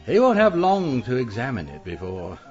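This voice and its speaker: An actor playing a genteel, scheming British publisher in a radio mystery, speaking in an urbane, theatrical, condescending drawl. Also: male voice, mid-range voice, huge pitch swings, normal speed, darkish tone. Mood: smug scheming. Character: genteel, scheming widowed male publisher, calmly plotting murder. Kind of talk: radio mystery drama acting. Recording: dull band-limited audio with music.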